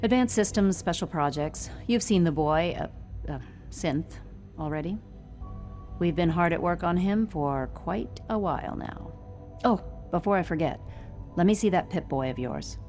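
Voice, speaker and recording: A woman's voice: on the low side, lively intonation, unhurried, a little mellow, soft background music. A woman playing a tense, work-obsessed scientist in a video game, brusque and formal. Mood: brusque, impatient. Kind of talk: scripted game dialogue.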